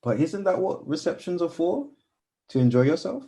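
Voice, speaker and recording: A man's voice: fairly high pitch, big pitch swings, moderate pace, dark tone. A man playing a polite, reassuring young family friend in a naturalistic radio drama, with understated, soothing replies. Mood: considerate.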